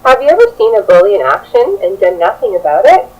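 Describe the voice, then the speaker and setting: A woman's voice: medium pitch, very animated, fast, darkish tone, slightly rough, poor audio. A young adult woman giving a prepared classroom presentation as a student teacher, partly reading. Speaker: student teacher presenting a children's book project.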